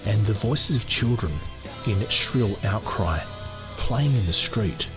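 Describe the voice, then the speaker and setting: A man's voice: fairly low pitch, lively intonation, slow, music in the background. A man hosting a book-reading podcast, moving between reading aloud and informal, thinking-aloud commentary. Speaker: podcast host and book reader.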